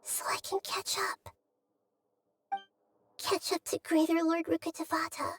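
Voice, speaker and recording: A woman playing a gentle, childlike young goddess in a video game, speaking softly and haltingly, lonely and earnest. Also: very high voice, level tone, noisy background. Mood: solemn, wistful. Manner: soft, halting, introspective. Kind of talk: scripted video game voice acting. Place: studio recording with music behind.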